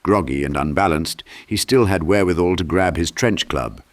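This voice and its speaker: Deep-voiced man narrating a horror audiobook. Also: normal speed. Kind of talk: dramatic scripted horror narration.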